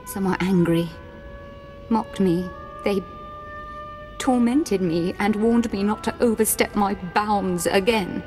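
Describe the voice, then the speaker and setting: An actress voicing an unstable young female Sith lord, wounded and bitter, with a gravelly voice and a manner that swings from imperious rage to self-pity, in a video game cutscene. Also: mid-range voice, takes it slowly, long pauses, a little mellow, faint music behind, close to an everyday voice.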